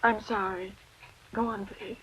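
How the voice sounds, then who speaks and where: An actress playing a genteel, calculating widow who narrates an old radio thriller with precise, theatrical diction. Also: female voice, medium pitch, fairly even intonation, unhurried, slight background noise, close to an everyday voice. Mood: composed, demure. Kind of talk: 1940s-50s radio drama acting. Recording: dull vintage radio recording, music behind.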